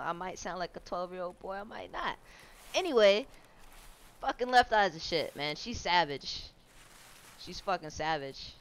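Low-voiced woman streamer, laid-back and profane. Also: a bit bright, clear, resonant tone. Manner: laid-back, swearing, chatting with viewers, laughing often. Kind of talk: casual chat while gaming. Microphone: headset.

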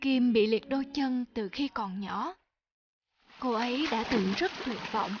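A woman voicing a gentle, encouraging mother in an animated tale, speaking with patient, soothing manner. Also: on the high side, measured pace, dull audio. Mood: gentle, reassuring. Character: gentle, encouraging mother of a young pianist daughter. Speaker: mother character. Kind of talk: animated fairy-tale dubbing.

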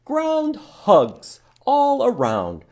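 A man voicing an excitable, stubborn storybook groundhog with loud, emphatic delivery. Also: very high voice, very slow, pitched above the performer's own voice, exaggerated voice. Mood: stubborn, affectionate. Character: excitable stubborn male groundhog in a picture book.

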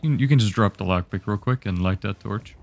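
Low-voiced man narrating a game walkthrough. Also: fast talker, gravelly, faint music behind. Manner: relaxed instructive, easygoing. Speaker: game guide host.

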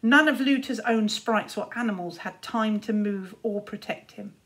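A woman's voice: medium pitch, moderate pace, fairly bright tone. A woman, narrating a children's story expressively, like a teacher reading aloud.

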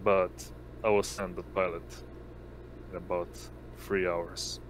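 A man running an online tabletop game as game master, speaking haltingly and hesitantly as he improvises. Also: low-pitched, little pitch movement. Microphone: online voice call. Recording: noisy line with constant hum.

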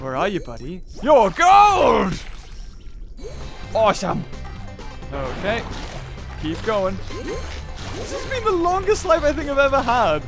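A young man giving excitable gameplay commentary, often shouting and laughing. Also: very high-pitched, takes it slowly, pauses often, music in the background. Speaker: gaming youtuber.

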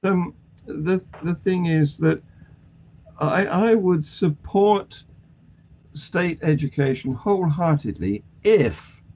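Older British man, mellow and measured. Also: on the high side, expressive. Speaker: panel discussion host. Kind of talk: conversational news discussion. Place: small attic room at home. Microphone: video call.

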